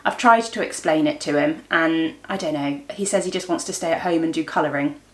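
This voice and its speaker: A woman's voice: low voice, fairly quick. Echoey, chatty woman vlogging at home. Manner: informal, rambling, thinking aloud. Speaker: vlogger and host of a daily family and craft vlog. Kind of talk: casual chatty vlog to camera. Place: indoors at home. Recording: roomy, echoey indoor room.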